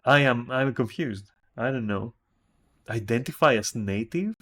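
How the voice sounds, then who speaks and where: A man's voice: mid-range voice, measured pace, a bit bright. A man from Greece with a Greek accent, streaming and reacting to a text, thinking aloud in a puzzled way with many restarts.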